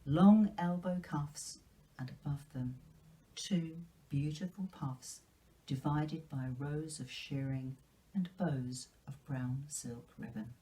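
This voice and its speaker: A woman reading a children's classic aloud as a storyteller, with careful, expressive delivery. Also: low voice, slow, rough recording. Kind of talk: storytelling, scripted narration from a novel.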